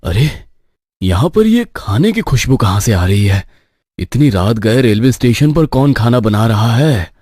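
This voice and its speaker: Casting: a man for a nervous young stationmaster in a horror cartoon, medium pitch, placating, very dry sound. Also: very animated, a little slow, darkish tone, husky, no room sound. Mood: puzzled. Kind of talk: cartoon horror story acting.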